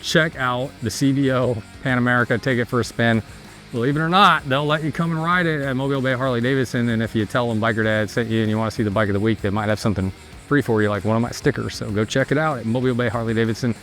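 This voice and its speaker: A middle-aged man hosting a motorcycle show, chatting casually in a relaxed, rambling way and reading sponsor lines. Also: mid-range voice, quick pace. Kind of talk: casual chat and sponsor reads. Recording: music playing underneath.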